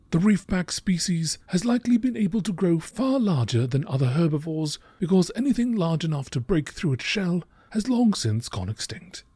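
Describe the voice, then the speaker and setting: A man reading scientific entries aloud as scripted narration in a nature documentary style, measured and carefully enunciated. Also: on the high side, warm tone, slightly rough, no room sound.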